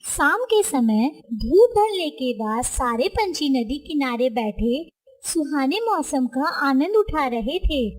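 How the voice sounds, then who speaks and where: A woman's voice: high voice, sing-song, takes it slowly. A woman reading scripted narration for a children's story.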